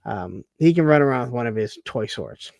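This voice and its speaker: A man's voice: medium pitch, expressive, fairly quick, vocal fry, a little mellow, no echo, studio quality. A man co-hosting a TV recap in casual chat, picking at the story with rhetorical questions. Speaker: co-host of a TV recap show.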